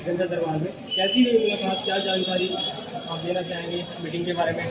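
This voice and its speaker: High-pitched male reporter in heavy noise. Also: steady tone, fairly quick. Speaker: news reporter, interviewer. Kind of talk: on-location news interviewing. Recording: noisy, echoey, distant field recording.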